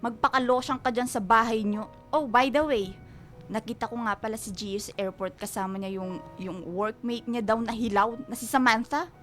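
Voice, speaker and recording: A blunt, sassy best friend played by a woman, bossy and protective. She has a high voice, in a radio drama with music underneath. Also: steady tone, moderate pace, a bit bright, lightly acted. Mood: teasing, warning. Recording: music behind the dialogue.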